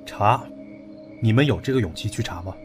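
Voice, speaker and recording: An actor playing a calm, analytical young man in an audio drama, speaking in a measured, matter-of-fact way. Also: lowish voice, over music, close to an everyday voice. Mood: resolute.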